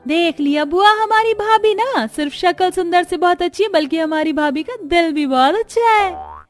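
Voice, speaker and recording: A woman voicing an excited, adoring young sister-in-law in a cartoon family story, gushing and exclaiming eagerly. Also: very high voice, moderate pace, voice pushed up. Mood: proud.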